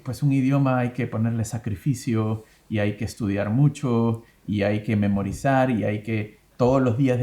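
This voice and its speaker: A man's voice: mid-range voice, dark tone. A man in his thirties hosting a casual interview, asking questions and rephrasing them as he goes. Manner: conversational, asks and rephrases questions. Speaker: host, interviewer. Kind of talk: casual interview, plus read sponsor ad.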